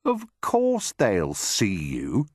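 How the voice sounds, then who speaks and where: A man's voice: lowish voice, huge pitch swings, very slow, slightly rough, dry recording, studio quality, pitched above the performer's own voice, exaggerated voice. A man voicing a smaller crocodile in a children's storybook, prim, scoffing and indignant. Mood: scornful, indignant.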